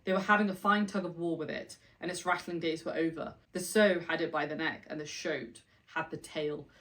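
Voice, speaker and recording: A woman's voice: low voice, steady tone, moderate pace, a bit bright, far from the mic, low-quality recording. A woman book vlogger chatting casually and reading opening passages of novels aloud with enthusiasm.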